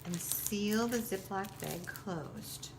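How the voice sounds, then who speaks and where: Slow, instructional woman over noisy ambience. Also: mid-range voice. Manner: instructional, simple clear directions. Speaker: school teacher presenting a recipe. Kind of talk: step-by-step cooking demonstration.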